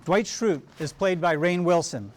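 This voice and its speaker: A man speaking to a gathering as the host of a table read, announcing names and thanks one by one with pauses. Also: high voice. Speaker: showrunner hosting a cast table read. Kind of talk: welcome speech and roll call read aloud to a gathering. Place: large room full of people at a live event. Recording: noisy room with loud crowd.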